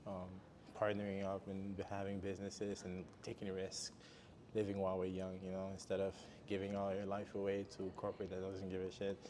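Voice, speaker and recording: A man hosts a podcast in a fairly low voice, thinking aloud in winding sentences that keep pausing on "um". He talks casually with his guest. A faint hiss of background noise runs under the recording. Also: monotone, darkish tone. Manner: meandering, hesitant with "um" fillers. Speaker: podcast host. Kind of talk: casual interview chat.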